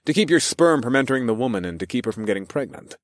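A man's voice: medium pitch, expressive, rapid-fire, no room sound, studio quality, lightly acted. A man voicing a confident, teasing male lover in audiobook dialogue.

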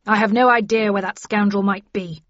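A woman's voice: mid-range voice, fairly even intonation, normal speed, fairly bright tone, no room sound, close to an everyday voice. A genteel husband, acted by a woman reader, declaims with bitter anger. It is a storybook character voice, recorded dry and slightly dull. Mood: angry scorn.

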